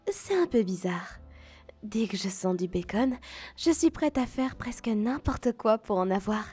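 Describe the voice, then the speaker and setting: A woman's voice: medium pitch, a little mellow, husky. A woman voicing a tall, confident, flirtatious female werewolf in an ASMR roleplay, seductive and often half-whispering. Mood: teasing, playful. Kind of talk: French ASMR roleplay acting.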